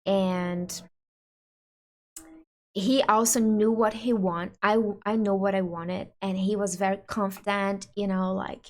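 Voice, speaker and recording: A woman's voice: medium pitch, little pitch movement, takes it slowly. Woman chatting with husband. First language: Portuguese. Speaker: channel host. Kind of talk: casual couple Q&A chat.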